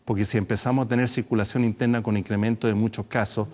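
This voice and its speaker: A man, a doctor guest in a studio TV interview, explaining in a measured way with some hesitations. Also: fairly low pitch, little pitch movement, darkish tone. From Chile.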